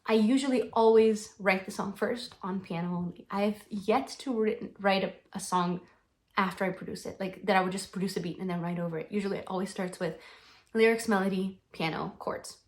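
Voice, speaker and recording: A woman's voice: mid-range voice, fairly even intonation, brisk. A young woman with Slovenian as her first language, chatting casually to the camera as a singer-songwriter answering fan questions, bubbly and gushing, with frequent ums and restarts. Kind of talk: casual Q&A vlog.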